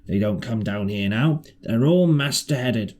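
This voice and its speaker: A man's voice: mid-range voice, animated, a little slow, darkish tone. A man voicing a shrewd, half-crazed marooned seaman in an audiobook, with rustic, ungrammatical, repetitive sailor talk. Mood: eager, rambling. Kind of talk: audiobook character voice.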